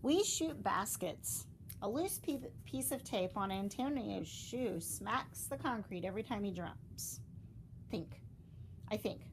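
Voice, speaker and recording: A woman reading a children's storybook aloud, expressively, with dramatic emphasis and deliberate pauses. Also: mid-range voice, animated, slight background noise. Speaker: storybook reader.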